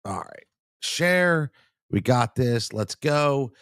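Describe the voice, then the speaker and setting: A man's voice: mid-range voice, lively intonation, slow, fairly bright tone, clean tone, no room sound, studio quality. A man with a cold hosting a live sports talk show, rambling and repeating himself, calling listeners man. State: congested from a cold.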